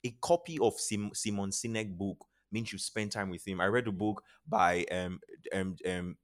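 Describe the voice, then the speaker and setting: A man's voice: fairly low pitch, moderate pace. A man with a Nigerian accent speaking as a podcast guest in an earnest, motivational way, repeating phrases for emphasis. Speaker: podcast guest, charity founder. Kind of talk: motivational interview talk.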